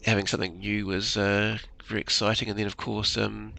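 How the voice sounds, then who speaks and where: A man's voice: lowish voice, level tone, a little fast, vocal fry, sharp tone. A man giving casual commentary on his game, muttering to himself and tacking little questions onto remarks. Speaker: gamer commentating a playthrough.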